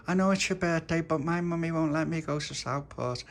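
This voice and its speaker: A man doing a small, obedient girl in a high, careful voice. She worries about what her mummy will allow. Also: brisk, close to an everyday voice. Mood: anxious. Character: timid, well-behaved little girl.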